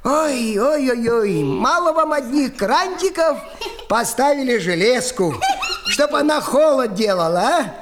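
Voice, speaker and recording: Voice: high voice, animated, unhurried, background noise. An actor playing a grumbling, boastful but kindly old male forest goblin in a children's musical audio fairy tale, with folksy muttering and exclamations. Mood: chatty, self-satisfied. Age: older.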